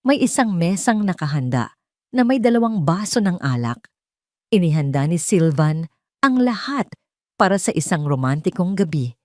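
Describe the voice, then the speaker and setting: A woman's voice: on the low side, a little mellow, dry recording, studio quality. A woman reading dramatic scripted narration as the storyteller of an audio drama.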